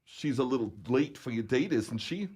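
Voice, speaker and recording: An actor playing an easygoing police officer in an old-time radio comedy, calm and wry. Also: male voice, mid-range voice, normal speed, husky.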